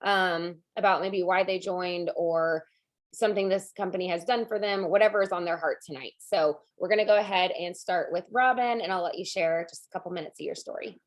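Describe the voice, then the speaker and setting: A woman with a raspy voice hosting a video call, chatting casually and laughing often. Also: fairly low pitch, a little fast. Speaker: host of a team video call. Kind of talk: casual chat.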